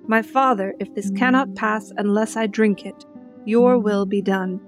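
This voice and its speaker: A woman with a mid-range voice narrates scripture as a dramatic reading. Plucked guitar music plays clearly behind her. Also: medium pitch.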